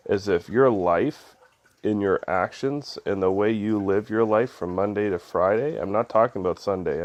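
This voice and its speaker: A man with a head cold and a stuffy, nasal voice gives a casual spoken devotional, loose and conversational with many ums and uhs. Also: deep voice. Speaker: devotional video host.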